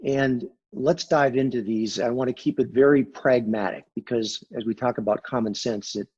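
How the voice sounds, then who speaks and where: A man with a raspy voice, speaking as a sales consultant guest in a business interview, pragmatic and emphatic. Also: mid-range voice, fairly even intonation, normal speed, a little mellow, lo-fi sound.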